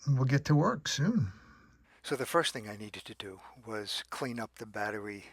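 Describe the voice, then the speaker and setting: A man narrating an unscripted how-to about a repair, speaking methodically and haltingly in short phrases with pauses between them. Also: on the low side, animated, slightly rough, no echo. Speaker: radio restorer and presenter.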